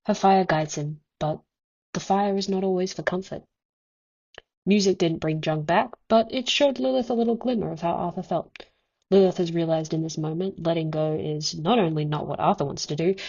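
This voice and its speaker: A woman's voice: fairly low pitch, darkish tone. Earnest woman on a dull lo-fi recording. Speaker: listener sending a voice message. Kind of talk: prepared, reflective monologue. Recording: dull, lo-fi voice message.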